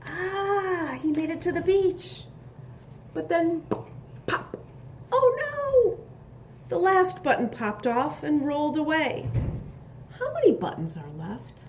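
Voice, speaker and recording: A woman reading a picture book aloud to young children, expressive and instructive, pausing to ask questions and add asides. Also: sits high, a little hiss or hum. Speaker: storybook reader.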